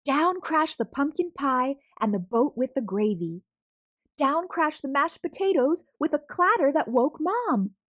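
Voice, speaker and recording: A woman reading a children's picture book aloud as a storyteller, expressive and deliberate, drawing out words for emphasis. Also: sits high, no room sound, studio quality. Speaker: storyteller, read-aloud host.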